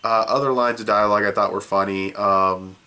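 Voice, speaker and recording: A man's voice: on the low side, fairly even intonation, a little fast, pushed and bright, clean tone. A young man with a cold, sniffling as he reviews a show in a loose, rambling way full of fillers. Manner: rambling, loose and offhand, with many fillers and restarts. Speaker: video reviewer. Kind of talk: casual video review.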